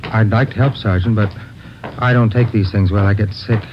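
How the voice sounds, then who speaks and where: An actor playing a frightened, cornered male killer who pleads haltingly, in 1950s radio crime drama style. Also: fairly low pitch, steady tone, lightly acted. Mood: nervous, evasive. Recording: muffled, noisy vintage radio recording.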